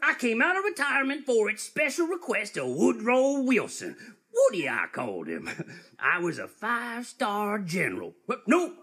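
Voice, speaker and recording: A husky old grandpa rambles proudly through tall tales in a comic radio play. His pitch swings widely and his delivery meanders. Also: wildly swooping pitch, sharp tone, full character voice. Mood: boastful reminiscing. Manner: chuckling, meandering, drawn-out storytelling. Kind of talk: comic live radio theatre.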